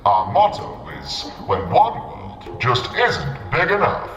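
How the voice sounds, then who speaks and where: A man playing an animated stone bust that serves as a courteous, officious warehouse clerk in a fantasy audio drama. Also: mid-range voice, slow, faint music behind, full character voice. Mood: officious, welcoming. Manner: formal, officious, customer-service politeness. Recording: long echoing reverb, cavernous hall.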